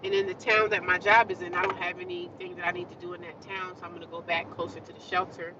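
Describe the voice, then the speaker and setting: A woman's voice: lowish voice, flat delivery, quick pace, muffled recording. Woman, casual vlog chat, congested nose, distant phone mic, road noise behind. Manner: chatty, talks straight to viewers. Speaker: vlogger. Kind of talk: casual rambling chat to viewers.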